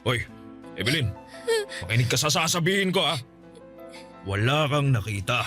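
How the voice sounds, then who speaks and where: A man's voice: medium pitch, very animated, normal speed, sharp tone, faint music behind. A man playing an abusive, hot-tempered middle-aged Filipino uncle in a radio drama, scolding and barking threats. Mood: menacing.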